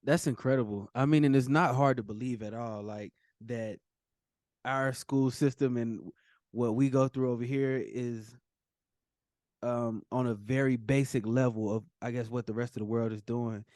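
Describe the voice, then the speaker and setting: A man's voice: mid-range voice, fairly even intonation, normal speed, darkish tone, no echo, clean studio sound. A man hosting an interview, asking questions in a hesitant way with many fillers. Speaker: host. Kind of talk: podcast interview.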